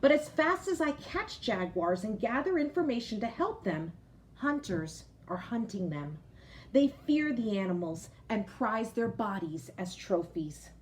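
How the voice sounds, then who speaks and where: A woman reading a picture book aloud to children like a teacher, expressive, pausing to explain and put questions directly to young listeners. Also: fairly high pitch, a little hiss or hum. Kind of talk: storybook read-aloud with explanatory asides.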